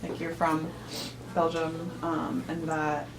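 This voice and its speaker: An upbeat woman with a lowish voice guides an interview, encouraging and full of fillers. She is picked up from across an echoey room, with clear noise underneath. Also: monotone, takes it slowly. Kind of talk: casual interview prep. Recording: roomy, distant mic, noticeable noise.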